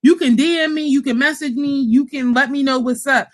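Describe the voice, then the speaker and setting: A high-pitched woman chatting casually to her viewers about spiritual kits she sells. She is animated and talkative, swears freely and keeps calling her audience y'all. She comes from Pittsburgh. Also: fairly quick. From Pittsburgh, USA. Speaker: spiritual kit seller and channel host. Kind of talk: casual livestream chat to viewers.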